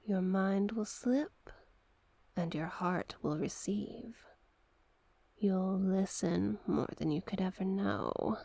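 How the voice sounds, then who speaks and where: A woman voicing a seductive, manipulative sea witch in an ASMR roleplay, doting yet sinister, with a hushed, breathy, coaxing delivery. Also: medium pitch, dry recording. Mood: hypnotic, whispering. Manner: slow, coaxing, affectionate endearments. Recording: noticeable background noise and ambience.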